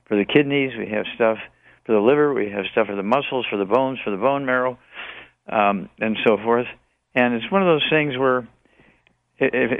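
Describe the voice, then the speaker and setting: A man in his eighties with a creaky voice over a narrow phone line. He is a radio guest promoting health remedies, rambling along in repetitive, list-like answers. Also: medium pitch, no echo. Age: 80s. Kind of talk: radio call-in question and answer.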